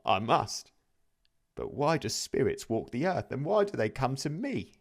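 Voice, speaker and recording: A man's voice: medium pitch, sing-song, unhurried, vocal fry, pitched above the performer's own voice, exaggerated voice. A man voicing a frightened old male miser who pleads in a trembling way. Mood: pleading.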